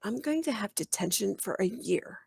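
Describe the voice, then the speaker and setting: A woman's voice: medium pitch, lively intonation, normal speed, gravelly, darkish tone, husky, no echo, exaggerated voice. A woman voicing a bold sixth-grade girl with dry, defiant sarcasm in a children's storybook. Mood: resigned.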